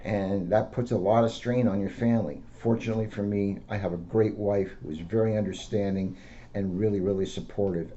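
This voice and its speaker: A man's voice: deep voice, mellow. A middle-aged American man, a school police officer, reading aloud and talking informally to schoolchildren. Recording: dull lo-fi audio with faint noise.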